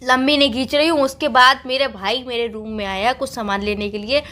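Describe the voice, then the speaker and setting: A woman's voice: a little high, fairly even intonation, a little fast, pushed and bright. A young woman playing a girlfriend on a staged couple phone call, talking in an urgent, insistent and dramatic way.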